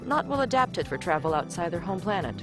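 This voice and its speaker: An actress playing a friendly, inquisitive spaceship crew member in a visual novel, with an expressive, chatty manner. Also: female voice, on the low side, a little fast, music in the background. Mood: friendly, curious. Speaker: game character.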